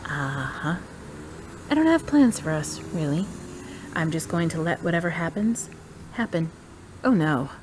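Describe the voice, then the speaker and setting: A woman's voice: sits low, very animated, measured pace, pauses often, noisy background. An actress playing a frank, playful divorced woman in a radio drama, talking in a teasing, direct way.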